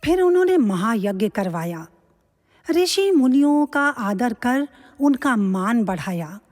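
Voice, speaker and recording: A woman narrating a devotional legend as scripted, measured storytelling in an audio drama. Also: a little high. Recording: long reverb.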